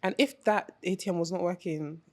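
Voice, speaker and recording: A woman's voice: lowish voice, fairly quick. A young woman from London with a London accent and bits of Nigerian slang, chatting casually on a podcast and stressing her points by repeating them. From United Kingdom. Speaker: podcast co-host.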